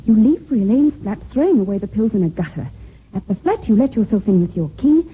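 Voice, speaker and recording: A woman's voice: medium pitch, lively intonation, a little fast, husky. An actress playing an earnest, insistent secretary who presses her boss in an old-time radio drama. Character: earnest, urgent secretary building a case, protective of her boss. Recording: tinny old radio recording.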